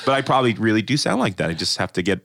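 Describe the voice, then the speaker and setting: A man's voice: fairly low pitch, very fast, gravelly, no room sound, studio quality. A man co-hosting a church podcast in casual chat, a kids pastor who laughs often and restarts his sentences. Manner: animated, laughing, many restarts.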